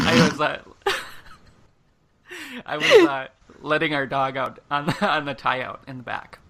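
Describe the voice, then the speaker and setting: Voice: medium pitch, big pitch swings, unhurried, creaky, a bit bright, slight background noise. A podcast host chatting casually and telling personal anecdotes in an informal, giggly way.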